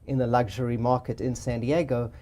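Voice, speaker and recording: A man with a South African accent, a real estate agent explaining his business in a measured way during an interview. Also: medium pitch, level tone, dark tone, a little hiss or hum. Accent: South African with a Boston tinge. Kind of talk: interview conversation.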